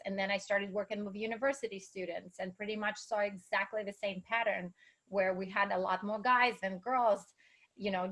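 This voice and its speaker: A woman's voice: medium pitch, fairly bright tone. A woman from Russia with a Russian accent, speaking as an entrepreneur guest in an interview in an enthusiastic, motivational way. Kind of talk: interview conversation.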